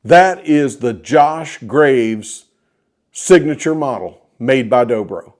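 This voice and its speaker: A man's voice: mid-range voice, animated, slow pace, darkish tone. A man with an American accent telling a story casually to viewers in a folksy, enthusiastic way, as the host of a guitar video.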